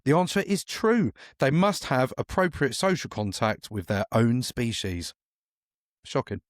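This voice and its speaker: A man hosts a casual true-or-false quiz, teasing his guests as he goes. His pitch moves up and down a lot while he reads out the questions. The sound is close and dry. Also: sing-song, unhurried, no room sound. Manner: playful, teasing, repeats questions. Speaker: quiz host.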